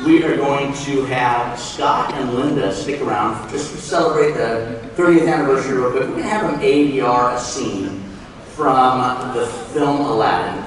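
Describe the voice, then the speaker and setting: A man's voice: medium pitch, moderate pace. A man moderating a live panel informally from the stage of a big convention hall. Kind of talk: informal live event hosting. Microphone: stage PA, recorded from the audience. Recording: echoey hall, distant audience recording, crowd noise.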